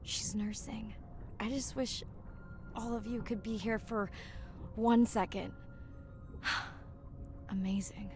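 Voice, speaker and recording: A woman playing a warm, earnest and playful young diver and ocean researcher in a naturalistic video game performance. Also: mid-range voice, fairly even intonation, slow pace, pauses often, soft background music. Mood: hushed awe.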